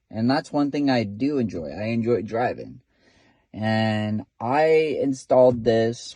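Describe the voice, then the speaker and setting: A man's voice: medium pitch, mellow, no room sound. Guy rambling in his car for his first vlog, lots of "you know", dry close sound. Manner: rambling and chatty, many fillers and restarts. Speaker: vlogger, CB radio hobbyist. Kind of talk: casual first-time vlog.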